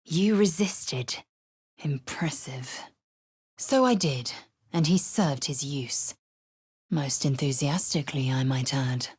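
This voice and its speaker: An actress playing a proud, ruthless alien warrior woman in a video game, speaking with clipped, commanding disdain and a husky voice. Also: extremely low pitch, slow pace, pauses often, a little mellow, no room sound, clean studio sound, full character voice. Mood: haughty, dry. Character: stern, imperious female alien githyanki warrior, proud and ruthless. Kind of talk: scripted video game character lines.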